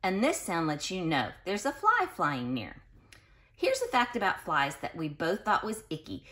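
Woman reading expressively to young children, swooping intonation. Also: lowish voice. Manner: expressive, sing-song delivery for young children; pauses to explain and question listeners directly. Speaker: children's read-aloud host. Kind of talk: children's picture-book read-aloud.

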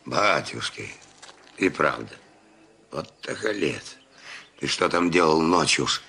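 A man playing a grumbling old park watchman with a creaky, drawling delivery in a children's audio story. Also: a bass voice, animated, slow, bright, forceful tone, heavily acted. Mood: grudging, grumbling. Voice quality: creaky, gravelly.

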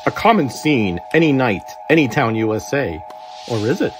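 A man narrating a horror comic in a low, theatrical storytelling voice. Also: sing-song, normal speed, music in the background. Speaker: comic reader.

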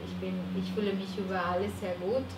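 A woman's voice: mid-range voice, level tone, a little fast. A woman in her forties from Colombia with a Colombian Spanish accent, speaking earnestly on a panel with small grammar slips. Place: seminar room with a panel. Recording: distant mic in an echoey noisy room.

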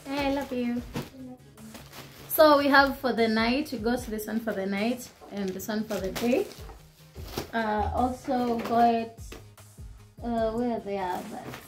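A young woman in her twenties with a Kenyan accent, chatting casually about her shopping haul as she holds up each item to the camera in her living room. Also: on the high side. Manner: chatty, code-switching, shows items to camera. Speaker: vlogger.

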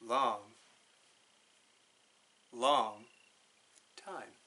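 A man in his thirties reading a children's picture book aloud in a deliberate, drawn-out, expressive way. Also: mid-range voice, a little hiss or hum. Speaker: storytime guest reader. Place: living room by a brick fireplace.

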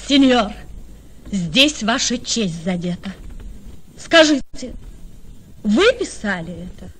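A woman's voice: mid-range voice, lively intonation, takes it slowly, fairly bright tone, husky, full character voice. An actress playing a proud, scheming young noblewoman in a radio drama, with an imperious, declamatory delivery. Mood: urgent, commanding. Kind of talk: theatrical radio drama in verse.

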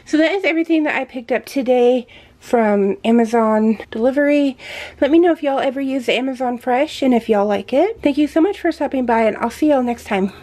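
A woman's voice: high voice, brisk, mellow. An American woman chatting casually to viewers as a home vlogger, in a slightly muffled indoor recording. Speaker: vlogger showing a grocery haul.

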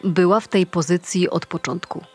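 Female forensic investigator, lowish pitch, composed, considerate, matter-of-fact, crime audio drama. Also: fairly even intonation, normal speed, a little mellow, breathy edge. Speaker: actress playing a forensic investigator.

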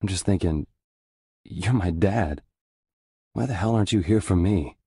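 A haunted young American squad leader telling his war story in a very low, husky voice. He is introspective and measured, with a crisp bright edge, close and dry over music, in a war game's cutscene narration. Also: dry recording, full character voice. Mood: grieving. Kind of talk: scripted first-person war narration.

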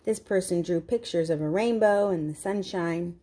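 A woman's voice: on the low side, normal speed, dark tone. A woman reading a picture book aloud to young children, expressively, with simple explanatory asides. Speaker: children's read-aloud host.

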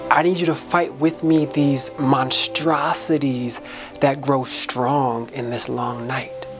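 Low-voiced woman, slow dramatic spoken word over music. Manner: impassioned, dramatic, preacher-like delivery with repetition; colloquial, folksy turns of phrase. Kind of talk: inspirational spoken-word soliloquy.

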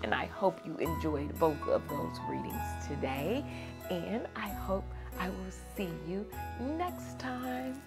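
A young adult woman hosting a read-aloud for children, teacherly, moving between expressive storybook reading and chat with questions. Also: mid-range voice, slow, loud music behind the voice. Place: small furnished room indoors.

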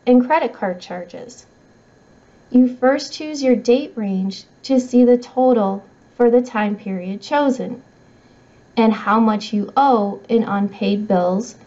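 A middle-aged woman reads scripted tutorial narration in a measured, instructional way. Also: medium pitch, warm tone. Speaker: software trainer. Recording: slightly dull, muffled audio.

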